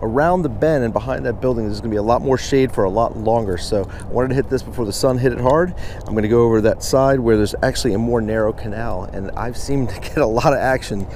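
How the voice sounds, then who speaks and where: American dude doing a casual fishing vlog, chatty, outside w a bit of ambient noise. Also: male voice, mid-range voice, quick pace, darkish tone. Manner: chatty, informal, addresses viewers as guys. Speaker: fishing vlogger. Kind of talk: casual outdoor vlog commentary to viewers. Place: outdoors beside a pond.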